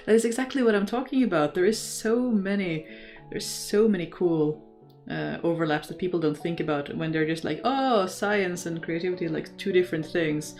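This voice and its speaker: A low-voiced woman chats casually to her livestream viewers. She rambles thoughtfully and with real enthusiasm, while soft guitar music plays behind her. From Norway. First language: Norwegian. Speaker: crafting livestream host. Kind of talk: casual chat, reading and answering live comments.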